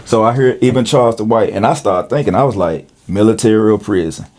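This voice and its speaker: A man's voice: lowish voice, fast, a little mellow. A middle-aged American man hosting a casual podcast chat, working his way into each point by rephrasing it in slang.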